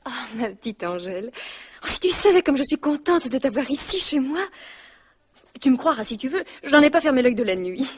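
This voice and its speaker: An actress playing a sharp-tongued young wife in her twenties, in a 1960s radio drama. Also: sits high, big pitch swings, breathy edge, full character voice. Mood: cheerful. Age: 20s. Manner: teasing, quick and mocking.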